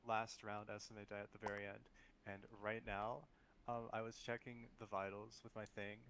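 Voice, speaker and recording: Guy on game voice chat, kinda low, talking it through, bit noisy. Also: flat delivery, moderate pace, a bit bright. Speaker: player in a group online game. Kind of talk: casual game discussion.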